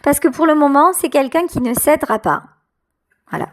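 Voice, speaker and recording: A woman giving an unscripted tarot reading directly to the listener, halting and repeating her phrases. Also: sits high, lively intonation.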